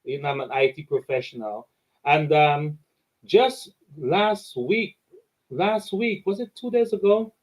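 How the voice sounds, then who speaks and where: A man's voice: on the high side, a little slow, low-quality recording. A Dominican man hosting an interview and eagerly telling a story from his week. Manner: eager storyteller, repeats words. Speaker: interview host. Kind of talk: animated anecdote in conversation.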